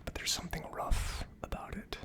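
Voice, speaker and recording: A host whispering a casual, unscripted ASMR tasting vlog, thinking aloud in a tentative, reflective way. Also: moderate pace. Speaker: host of a whiskey tasting series.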